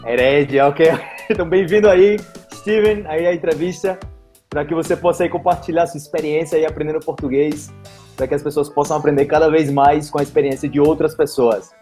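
A man in his thirties from Brazil runs a relaxed video-call interview, talking through an earphone mic in a small room. His voice sits a little high and he keeps up a chatty, upbeat flow full of fillers. Faint music plays underneath. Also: brisk. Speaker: host. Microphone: earphone headset mic.

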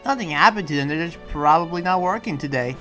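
A person improvising a relaxed demon slayer in a game roleplay, with a hesitant, halting delivery. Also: medium pitch. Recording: music behind the voice.

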